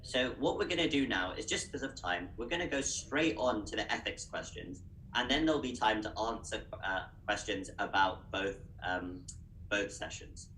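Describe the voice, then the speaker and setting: A man's voice: fairly low pitch, lively intonation, normal speed, a bit bright, slight background noise. A young British man explaining slides to students in a webinar, talking them through step by step on a video call. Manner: encouraging, direct address. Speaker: webinar speaker. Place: indoor room. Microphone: video call webcam. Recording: echoey room.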